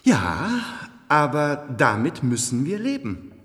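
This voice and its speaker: A man acting a complacent, cultured ruler of a future elite in a 1970s radio play, suave, measured and condescending, in an echoey hall. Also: a little high, wildly swooping pitch, fairly bright tone, full character voice. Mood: smug musing.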